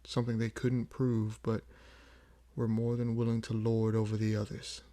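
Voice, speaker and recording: A man playing a weary, proud old vampire in an audio roleplay, speaking in a measured, brooding way with frequent pauses. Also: lowish voice, monotone, warm tone, close to an everyday voice. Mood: grave, matter-of-fact. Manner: measured, brooding, pausing often. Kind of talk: slow dramatic audio roleplay monologue.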